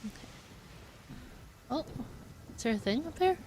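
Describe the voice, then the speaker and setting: A young woman chatting casually as she comments live on a game, expressive and giggly. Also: high voice, a little slow, slight background noise. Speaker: game streamer.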